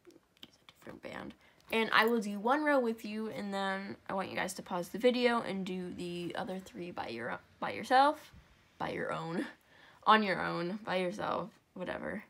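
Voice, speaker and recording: A woman's voice: medium pitch, animated, moderate pace, creaky, fairly bright tone. Congested young woman teaching a craft. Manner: chatty, rambling, self-correcting asides. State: congested, stuffy nose. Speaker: craft tutorial host. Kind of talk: step-by-step crafting tutorial.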